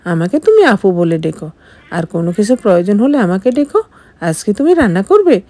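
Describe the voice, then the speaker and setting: A woman's voice: mid-range voice, sing-song, a little fast, dark tone, no echo. A woman voicing a devoted, self-sacrificing young wife in an audio story, emotive and pleading. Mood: gently helpful.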